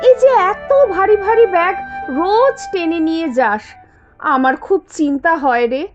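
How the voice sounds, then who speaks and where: A woman voicing an anxious, caring mother in an animated story, with a worried, plaintive way of speaking. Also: extremely high pitch, moderate pace, lo-fi sound, over music. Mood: anxious, tender. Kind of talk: Bengali animated story dialogue.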